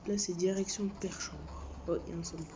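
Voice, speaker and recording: A woman's voice: on the low side, steady tone, quick pace, slight background noise. A woman giving casual, rambling commentary on her own gameplay, often mumbling asides under her breath. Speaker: let's play gamer. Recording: dull lo-fi with loud game music. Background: video game music.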